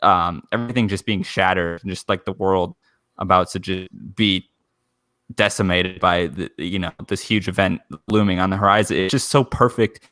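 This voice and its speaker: Podcast panelist, male, fairly deep voice, emphatic casual fan talk, dry sound. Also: steady tone, moderate pace, sharp tone, dry recording.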